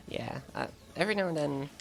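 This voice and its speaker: A woman's voice: low voice, moderate pace, vocal fry, a little mellow, soft background music. A German woman with an American-sounding English accent, chatting casually and laughing often while commentating a speedrun of her game live.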